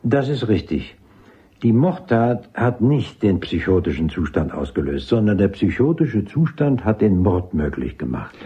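An actor playing a brisk, authoritative army doctor in a German radio drama, speaking formally and didactically. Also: male voice, fairly low pitch, very animated, warm tone, husky, dull audio, exaggerated voice. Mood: brisk, explanatory. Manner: didactic, formal, clipped military address. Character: brisk, authoritative male army psychiatrist with major's rank.

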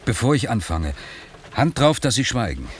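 A man's voice: medium pitch, sing-song, moderate pace, slight background noise, lightly acted. An actor playing a guarded, sceptical, brooding man in a German radio play, speaking in a terse, probing, dramatic way. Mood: secretive, intent. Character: guarded, sceptical, brooding male patient with secrets.

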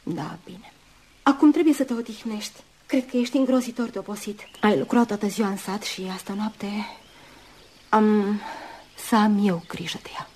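An actress playing a dutiful, jealous woman in a radio drama, earnest and urgent, often hushed. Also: mid-range voice, husky, close to an everyday voice. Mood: anxious, insistent. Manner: earnest, urgent, sometimes dropping to hushed tones. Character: dutiful, jealous woman nursing a rival, intense and wounded. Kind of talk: Romanian radio drama acting. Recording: noisy old radio drama recording.

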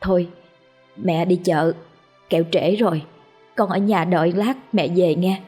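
A woman with a Southern Vietnamese accent voicing a poor, long-suffering young mother who speaks softly, as if holding back tears. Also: lowish voice, steady tone, a little slow, breathy edge, soft background music. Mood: tearful, tender. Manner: soft, choked, tender.

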